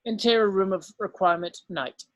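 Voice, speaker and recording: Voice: mid-range voice, a little fast, no echo. A narrator reading screenplay stage directions as scripted narration, now and then stumbling over the text.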